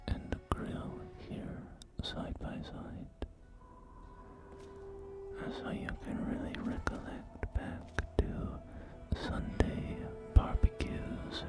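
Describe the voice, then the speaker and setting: A man whispering in an ASMR roleplay as a courteous, enthusiastic post-apocalyptic real estate agent, with genteel, hesitant sales patter. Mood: softly enthusiastic. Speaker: roleplay performer. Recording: music behind close whispering. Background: background music.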